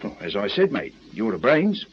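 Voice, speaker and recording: An actor playing a rough, edgy English small-time crook in a radio drama, husky and slangy. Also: male voice, fairly low pitch, moderate pace, very noisy. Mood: wary. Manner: slangy, animated. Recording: dull, lo-fi radio recording.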